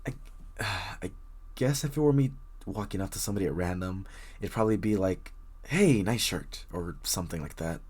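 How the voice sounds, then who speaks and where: A man's voice: low voice, lively intonation, moderate pace, darkish tone, breathy edge. A man playing a very shy, bookish young stranger in an intimate roleplay audio drama, stammering, rambling and apologising nervously as he addresses the listener. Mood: flustered.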